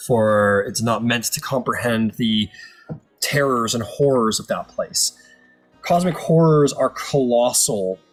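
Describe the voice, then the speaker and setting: A man improvising narration as the game master of a tabletop role-playing game. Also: medium pitch. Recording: music playing under the voice. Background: background music.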